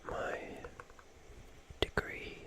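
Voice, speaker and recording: A person whispering ASMR musings in a breathy, unvoiced voice, with deliberate short phrases. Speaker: ASMR streamer.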